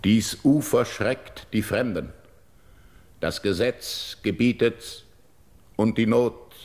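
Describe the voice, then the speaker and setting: Grave, deep-voiced grieving old king. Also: darkish tone, reverberant. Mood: stern, reproachful. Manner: solemn, measured, declamatory. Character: grieving, proud older king, childless widower-like ruler seeking a bride. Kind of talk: classical verse radio drama.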